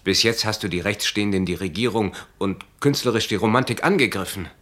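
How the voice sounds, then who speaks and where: Earnest, low-voiced male friend. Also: fairly quick, a bit bright. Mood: urgent warning. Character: earnest, concerned male friend giving stern warnings. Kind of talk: German radio play acting.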